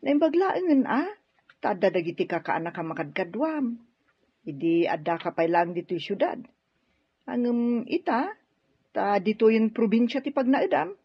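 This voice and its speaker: Husky-voiced, affectionate middle-aged mother. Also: a little high, big pitch swings, moderate pace, long pauses, no echo, full character voice. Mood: fond, concerned. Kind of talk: radio drama acting. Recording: dull, dry studio audio.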